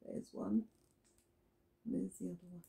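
A middle-aged woman reading a children's Bible story aloud as a storyteller, deliberate and expressive, recorded indoors in a small room. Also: fairly low pitch, gravelly. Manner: deliberate, expressive storytelling with questions to young listeners.

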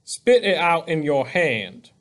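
A man voicing a school superintendent who speaks in a calm, measured, commanding manner in a story. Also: fairly high pitch, exaggerated voice. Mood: calm, stern. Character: calm, firm male school superintendent in authority.

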